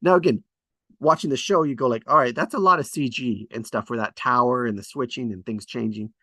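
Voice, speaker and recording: A man's voice: mid-range voice, brisk, pushed and bright, dry recording. A man hosting a table read, chatting casually and hesitantly about scriptwriting as he thinks aloud. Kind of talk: casual rambling discussion of screenwriting.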